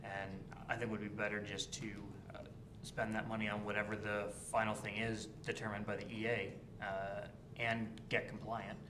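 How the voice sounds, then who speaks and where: Flat-voiced, low man councillor. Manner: measured, reasoning step by step. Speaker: town councillor. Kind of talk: council meeting debate. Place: echoey council chamber. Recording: echoey room with background noise.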